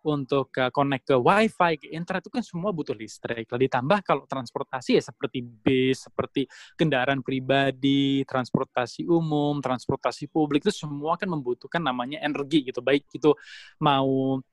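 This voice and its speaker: A man's voice: mid-range voice, moderate pace, no echo. A young man from Indonesia, a guest in an interview, explaining at length with many fillers and restarts. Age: young adult. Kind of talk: casual interview talk.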